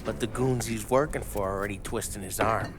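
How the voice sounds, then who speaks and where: A man's voice: on the low side, brisk, close to an everyday voice. A man playing a brash, scheming small-time gangster in a video game, telling stories in a boastful, colloquial way. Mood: cocky, enthusiastic. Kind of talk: video game cutscene acting. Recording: music behind the dialogue.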